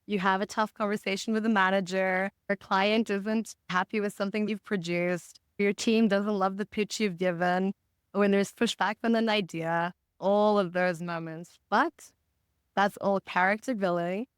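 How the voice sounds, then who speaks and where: A woman from Botswana giving reflective, articulate and positive interview answers in a measured way as a podcast guest. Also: mid-range voice, fairly even intonation, clean tone, no room sound, clean studio sound. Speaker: podcast guest, marketing director.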